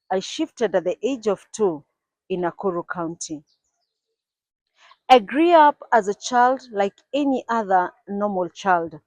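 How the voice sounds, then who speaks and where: A woman's voice: mid-range voice, a little mellow, no room sound, rough recording. A Kenyan woman in her forties, earnestly telling her own life story to her channel's viewers. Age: 40s. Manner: earnest, reflective, with occasional restarts. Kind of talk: personal storytelling to camera.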